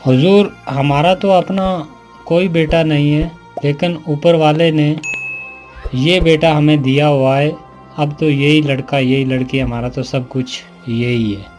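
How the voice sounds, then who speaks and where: A man's voice: a little high, steady tone, slow, darkish tone, faint music behind. A man voicing a humble, grateful landowner in a cartoon moral story, exclaiming eagerly and deferentially. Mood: humble, earnest.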